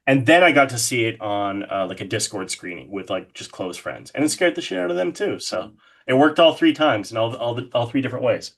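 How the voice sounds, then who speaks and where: Man, medium pitch, podcast guest, casual chat, enthusiastic, sweary. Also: animated, fairly quick, a bit bright. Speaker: podcast guest, horror artist. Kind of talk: casual interview chat about horror films.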